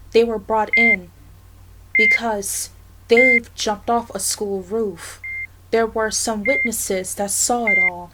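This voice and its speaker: A calm female hospital staffer played by a woman with a fairly high voice. She speaks politely and carefully, with some hesitation, as in an amateur audio drama. The recording is very noisy. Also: natural voice. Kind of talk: dramatic ASMR roleplay acting.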